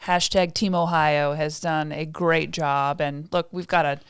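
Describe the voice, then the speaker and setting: Lowish smooth woman from indiana, chatty podcast guest, lots of ums. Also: fairly even intonation, unhurried, no echo, studio quality. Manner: chatty, many fillers and restarts, self-deprecating laughs. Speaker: state development director, guest. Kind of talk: casual interview talk.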